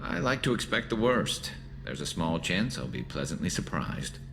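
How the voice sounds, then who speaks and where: A man playing a battle-hardened, dry-witted alien soldier in a video game, with a gravelly, metallic voice and measured delivery. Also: deep voice, big pitch swings, music in the background, full character voice. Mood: dryly wry. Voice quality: gravelly, resonant metallic rasp.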